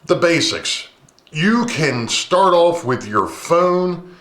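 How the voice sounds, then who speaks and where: Chatty man with a booming voice. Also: animated, slow pace, a bit bright. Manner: rambling, chatty, many ums. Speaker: model railroad channel host. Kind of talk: casual talk to camera. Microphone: camcorder's built-in mic, no lapel mic. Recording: echoey room.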